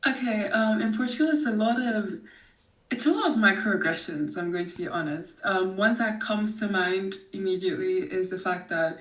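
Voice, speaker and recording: A woman from Nigeria, a podcast guest talking in a lively, expressive way and laughing often. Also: mid-range voice, moderate pace. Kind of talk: casual conversation. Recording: roomy, distant mic.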